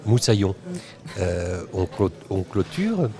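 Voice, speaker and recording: A man hosting a roundtable podcast, speaking haltingly with pauses mid-sentence. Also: low-pitched, very animated. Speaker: podcast host. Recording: busy crowd chatter behind. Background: crowd chatter.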